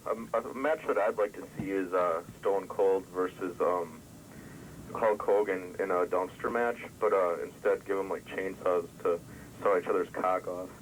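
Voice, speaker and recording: A man prank calling a show by phone, hesitant and deadpan. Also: low voice, normal speed. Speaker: prank caller. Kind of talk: casual phone call-in. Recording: faintly noisy distant phone line.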